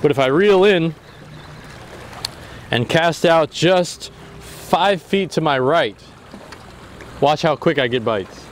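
Young man, fishing vlogger, casual narration, excitable, outdoors on a jetty, wind and water noise. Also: fairly high pitch, slow pace, long pauses. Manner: excitable, exclaims loudly when fish bite. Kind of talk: casual solo vlog narration. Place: outdoors on a rocky sea jetty. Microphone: action camera, near and far.

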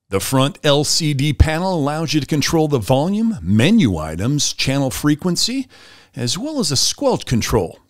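A man's voice: mid-range voice, dry recording, studio quality. A man hosting a product review channel, reading a scripted review as a voice-over.

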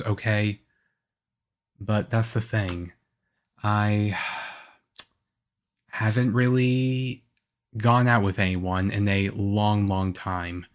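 A man playing a shy, nervous teenage-looking vampire boyfriend in an ASMR roleplay, halting and stammering awkwardly. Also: fairly low pitch. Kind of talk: ASMR audio roleplay, one-sided conversation.

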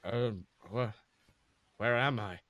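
A man's voice: medium pitch, slow, a bit bright, no room sound, pitched below the performer's own voice. A man voicing a roguish pirate captain from a story, flippant and wary. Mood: wary, polite. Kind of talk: storybook character voice.

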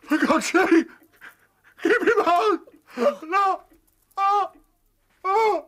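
An actor playing a weak, dying old father in a radio drama, halting and gasping, grave and burdened with guilt. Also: very high-pitched, fairly bright tone, full character voice. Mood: terrified. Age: older. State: weak, gasping on his deathbed.

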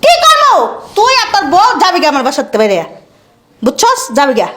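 A woman's voice: sits high, expressive, rapid-fire. A woman with a Bangladeshi accent playing a poor older mother and devoted, fiercely protective wife in a family melodrama. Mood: angry, scolding. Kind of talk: acted family melodrama in colloquial dialect.

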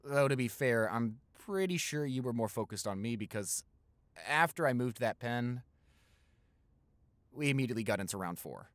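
A man's voice: mid-range voice, animated, normal speed, no room sound, lightly acted. Young male boyfriend played by a man for roleplay audio: mid-range voice, playful, tender, conversational, very dry recording. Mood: playful, caring. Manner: conversational, hesitant, affectionate. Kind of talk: intimate boyfriend roleplay, improvised.

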